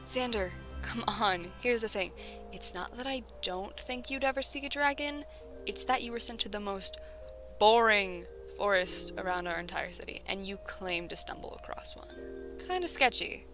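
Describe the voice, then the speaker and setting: A woman's voice: medium pitch, moderate pace, bright, forceful tone, soft background music. Brash, teasing woman sergeant played by a woman. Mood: amused, coaxing. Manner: blunt, irreverent, casual banter. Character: Edith, brash, teasing female spear-wielding sergeant. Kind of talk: fantasy audio drama acting.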